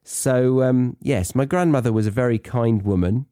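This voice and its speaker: A man's voice: mid-range voice, normal speed, mellow, no echo, studio quality. A British man hosting an educational English podcast, talking in a chatty, conversational and wry way. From Britain. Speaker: podcast host and English teacher.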